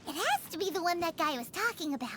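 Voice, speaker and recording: A woman's voice: extremely high pitch, big pitch swings, fast, very noisy. A woman voicing a small, curious, bubbly fairy companion in a video game, with a chirpy, childlike and emphatic delivery. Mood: surprised, intrigued. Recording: game music under the voice.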